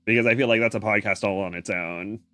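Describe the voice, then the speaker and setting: A man's voice: lowish voice, steady tone, quick pace, bright, forceful tone, no room sound, studio quality. A man co-hosting a podcast in a casual, playful comedic chat, silly and given to exclamations. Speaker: podcast co-host. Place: indoor room.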